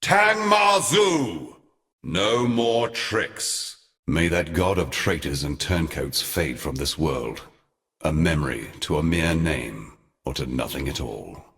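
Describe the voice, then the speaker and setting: An actor playing an ancient, brooding god in a fantasy game, speaking in solemn, ornate and deliberate phrases like a theatrical monologue. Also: male voice, a bass voice, expressive, a bit bright, heavily acted. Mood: commanding, wrathful, then grave, brooding. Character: ancient grave god, former lover of gods, brooding and eloquent.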